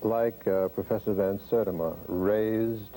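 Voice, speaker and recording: Deep, dark-voiced male talk show host. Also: steady tone, a little slow, a little hiss or hum, low-quality recording. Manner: direct, probing questioner. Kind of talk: interview questions.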